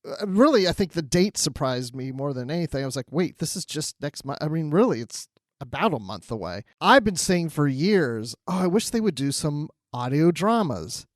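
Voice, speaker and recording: A male podcast co-host with a fairly high voice, chatting casually. He tells things in an animated, conversational way, and the sound is dry with little room around it. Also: normal speed, dry recording. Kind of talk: casual chat.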